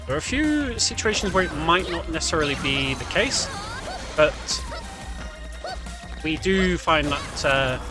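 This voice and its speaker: A man's voice: on the high side, lively intonation, a little slow, long pauses. A man giving casual, explanatory commentary on a video game walkthrough, talking the viewer through as "we". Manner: explanatory, speaking as "we". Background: game music.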